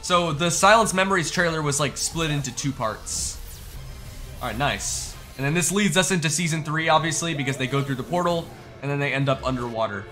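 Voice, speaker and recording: A young man giving casual reaction commentary as a gaming YouTuber, informal and slangy, thinking out loud as he goes. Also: on the high side, a little fast, faint music behind.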